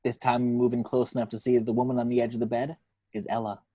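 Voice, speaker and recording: A man's voice: mid-range voice, level tone, quick pace, dark tone, slightly rough, no room sound. A man reading scripted action descriptions from a screenplay as narrator. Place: at home.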